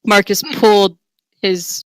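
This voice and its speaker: A woman's voice: medium pitch, little pitch movement, slow pace, clean tone, no room sound. A woman giving a theatrical, tongue-in-cheek dramatic reading of a fantasy romance. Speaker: reader.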